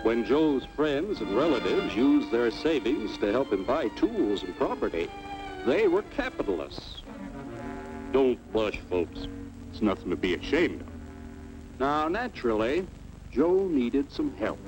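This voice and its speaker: Level-headed American cartoon everyman; persuasive, lively storytelling, vintage film soundtrack with music. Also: mid-range voice, unhurried, poor audio. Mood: folksy, persuasive. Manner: folksy, persuasive, emphatic. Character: plain-spoken, level-headed everyman citizen, folksy storyteller. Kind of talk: 1950s educational cartoon narration.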